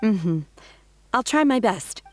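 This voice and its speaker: A woman playing a reserved, devoted young disciple in a video game, speaking calmly, softly and earnestly. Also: a little high, slow pace, a little hiss or hum. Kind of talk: video game character dialogue.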